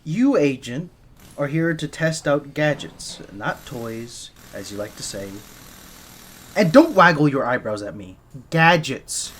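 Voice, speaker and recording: A man's voice: fairly high pitch, lively intonation, takes it slowly, a bit bright, exaggerated voice. A man playing a shy, easily flustered gadget maker for a secret agent in an audio roleplay, speaking nervously and stammering. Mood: exasperated, instructing. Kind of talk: scripted ASMR roleplay, one side of a dialogue.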